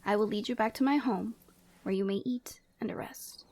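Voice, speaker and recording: A woman playing a proud, commanding yeti woman in a scripted ASMR roleplay, speaking in an imperious, measured and formal way. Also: medium pitch, dark tone, natural voice. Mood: stern, bargaining.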